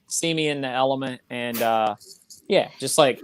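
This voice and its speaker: A man's voice: medium pitch, moderate pace, background noise. A man who runs a small business talks earnestly and at length in a casual chat over a video call. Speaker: small business owner, guest.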